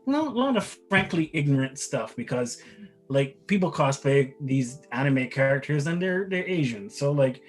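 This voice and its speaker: A man's voice: medium pitch, expressive, normal speed, faint music behind. A middle-aged man from Barbados giving casual, rambling interview answers, repeating words and often checking with "right?". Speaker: interview guest, father of the other guest.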